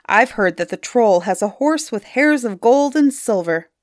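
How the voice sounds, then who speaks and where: Cheeky storybook boy, fearless and taunting, read by a woman, swinging high and low. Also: lively intonation, moderate pace, dry recording, clean studio sound, close to an everyday voice. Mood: cocky. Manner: gleeful, taunting.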